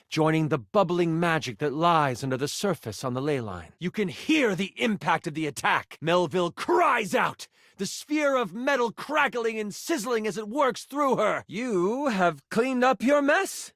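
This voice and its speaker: An actor playing a theatrical fantasy game master who narrates with dramatic storytelling flair. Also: male voice, high-pitched, fairly bright tone, dry recording, studio quality. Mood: excited.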